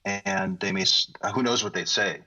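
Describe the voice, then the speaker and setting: A man calmly explaining how to question claims, in a reflective, explanatory way on an audio call. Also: sits low, moderate pace, dull audio. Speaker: discussion guide. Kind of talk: casual advice.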